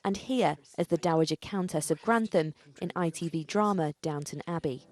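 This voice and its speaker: A woman's voice: fairly low pitch, normal speed, darkish tone, faint music behind. A British woman news reporter voicing a scripted report.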